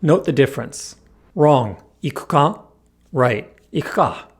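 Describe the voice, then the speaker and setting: A young man narrating a scripted language lesson, explaining clearly with a touch of self-deprecating humor. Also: fairly high pitch, expressive, a little slow. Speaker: language teacher and channel host.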